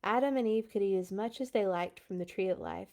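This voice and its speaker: A woman's voice: medium pitch, brisk, gravelly, darkish tone. A woman hosting a children's read-along, reading aloud and adding casual asides.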